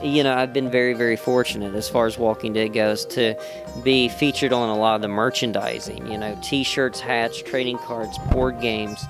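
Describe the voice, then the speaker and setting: Rambling man over loud music. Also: medium pitch, fairly even intonation, moderate pace. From West Virginia. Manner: rambling, many "you know" fillers and restarts. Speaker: actor interviewed as guest. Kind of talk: casual convention interview. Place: fan convention floor. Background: music playing.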